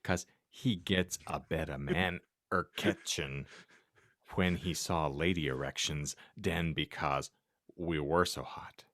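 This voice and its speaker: A man's voice: a bass voice, slow, fairly bright tone, no room sound, studio quality. A man giving a comic dramatic reading of badly written fiction as a podcast co-host, tripping over words and breaking into laughter. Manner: stumbles over misspelled text, breaks into laughter.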